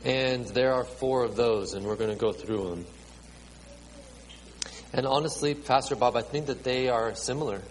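A man in his late thirties preaching a sermon to a congregation with children, halting and repeating words, with many ums. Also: medium pitch. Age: 30s. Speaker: pastor. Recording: reverberant hall, faint ambient noise.

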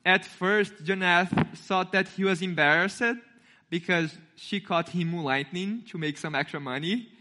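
A young man from Brazil with a Brazilian Portuguese accent preaches to a congregation in a friendly, storytelling way. His voice sits a little high and sounds bright and forward. He acts out the voices of the people in his story as he goes. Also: measured pace. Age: 20s. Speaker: intern and youth speaker. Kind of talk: sermon with storytelling.

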